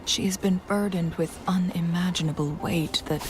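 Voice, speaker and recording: A woman voicing a musing young companion, low voice. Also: fairly even intonation, moderate pace, close to an everyday voice. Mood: reflective. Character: thoughtful young woman companion, earnest, curious. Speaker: game companion character. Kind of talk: scripted game dialogue. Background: game music.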